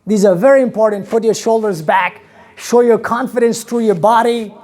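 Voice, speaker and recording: A man in his thirties from Afghanistan with an Afghan accent, giving an animated English lesson to a class in a small classroom, asking his students questions. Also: sits high, normal speed. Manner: animated, gestures widely, asks the class questions. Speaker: English teacher. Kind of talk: classroom lecture. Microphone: camera across the room.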